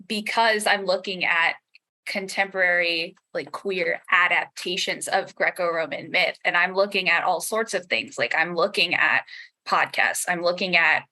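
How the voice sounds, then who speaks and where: A woman's voice: fairly low pitch, moderate pace, sharp tone. A woman podcast creator and scholar speaking casually and analytically in a panel discussion, working through her ideas. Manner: thoughtful, analytical, hedging with frequent "like".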